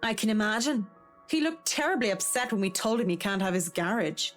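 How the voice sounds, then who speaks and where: A knowledgeable woman architect , voiced by an actress for a video game, measured and explanatory. Her voice is mid-pitched, with faint music underneath. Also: lively intonation, lightly acted. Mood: matter-of-fact. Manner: explanatory, matter-of-fact. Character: knowledgeable female architect, measured and matter-of-fact.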